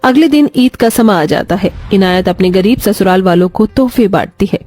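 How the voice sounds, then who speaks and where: A woman's voice: mid-range voice, normal speed, a little mellow, dry recording. A woman narrating a scripted moral story as a storyteller. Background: faint music.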